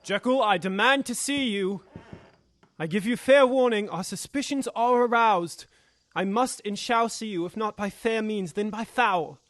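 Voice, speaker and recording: A man acts out a determined gentleman lawyer of Victorian audio drama. His voice sits a little high, and his delivery is formal, old-fashioned and declamatory, recorded dry. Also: unhurried, no echo. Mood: commanding, urgent.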